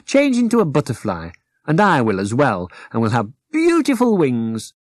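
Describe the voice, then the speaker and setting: A man's voice: medium pitch, huge pitch swings, normal speed, dry recording. A man voicing an eager, enthusiastic young boy rag doll in a children's storybook, full of suggestions. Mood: keen, persuasive.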